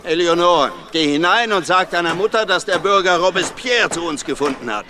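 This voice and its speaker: An actor playing a commanding, protective householder of old Paris in a German radio play. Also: male voice, on the high side, steady tone, moderate pace, noisy background, natural voice. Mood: firm, excited.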